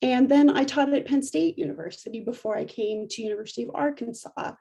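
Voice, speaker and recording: A middle-aged woman professor giving a measured lecture, her voice a little affected by allergies, with frequent small restarts. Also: high-pitched, vocal fry, mellow, husky.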